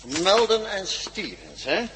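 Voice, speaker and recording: A menacing male prison guard in a radio drama, ringing with long reverb. He sneers and threatens in a fairly high, swinging voice. There is clear noise in the recording. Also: huge pitch swings, slow pace, full character voice. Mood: sneering. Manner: taunting, threatening, authoritative. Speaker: actor in a radio play. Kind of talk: Dutch radio drama acting.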